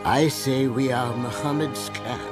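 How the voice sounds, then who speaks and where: A man's voice: medium pitch, measured pace, lightly acted. A man playing an old, earnest uncle. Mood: resolute. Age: older. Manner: earnest, pleading, steadfast. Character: old uncle, protective clan elder. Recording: animated film with music behind.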